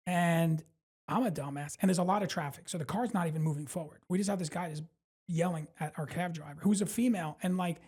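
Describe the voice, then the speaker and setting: A man's voice: a little high, fast talker, husky. A male comedian with a New York accent, telling a rambling, profane story in a casual podcast chat. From Brooklyn, New York. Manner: animated, profane, rambling, full of fillers and self-mockery. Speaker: stand-up comedian guest.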